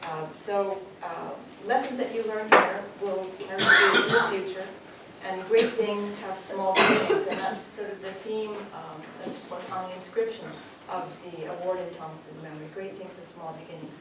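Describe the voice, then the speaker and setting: A middle-aged woman from Canada with a Canadian accent gives a joking graduation speech to pupils as a parent, often pausing with "um". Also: medium pitch, steady tone. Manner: warm, joking, with frequent ums. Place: school hall with pupils. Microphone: distant camera. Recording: echoey hall from far off, room noise.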